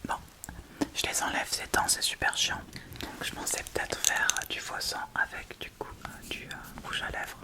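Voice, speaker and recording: A woman speaks in a soft, breathy whisper, casually chatting and thinking aloud through an ASMR tutorial close to the mic. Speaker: ASMR video host.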